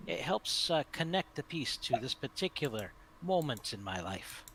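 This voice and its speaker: Man as a lively, courteous gentleman traveler. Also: medium pitch, moderate pace, slight background noise. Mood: courteous, enthusiastic. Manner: formal, polite, wordy. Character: courteous, eloquent gentleman traveler visiting for a festival. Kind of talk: tabletop role-play.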